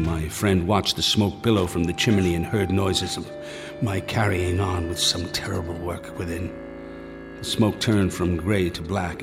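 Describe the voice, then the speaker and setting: Radio drama: resolute older doctor, very low register, solemn and formal, clearly scored with music. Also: male voice. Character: grave, resolute older male doctor and loyal family friend. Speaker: actor, also narrating.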